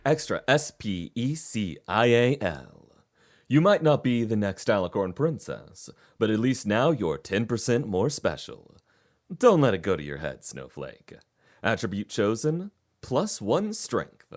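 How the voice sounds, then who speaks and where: A man's voice: on the low side, big pitch swings, a little slow, darkish tone. A man playing a snarky, mocking level-up announcer in a game-themed audio drama. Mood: sardonic. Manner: sardonic, mocking.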